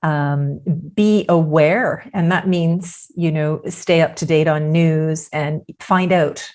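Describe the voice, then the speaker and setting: A woman guest on a podcast interview, talking in a thoughtful, measured way and using many fillers. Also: deep voice, fairly even intonation, darkish tone.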